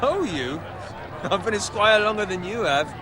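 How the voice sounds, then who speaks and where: An actor playing a proud, grumbling young squire in a fantasy video game, sardonic and coarse. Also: male voice, high voice, expressive, moderate pace, a crowd in the background, noisy background. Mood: bitter, sardonic. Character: bitter, foul-mouthed young male squire, grumbling and proud. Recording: loud music behind the voice.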